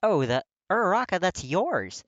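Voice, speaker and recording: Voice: mid-range voice, very animated, moderate pace, dry recording, lo-fi sound, voice pushed up, close to an everyday voice. A narrator voicing a friendly, eager young man with a bouncy, casual manner in an audio story. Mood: cheerful, eager.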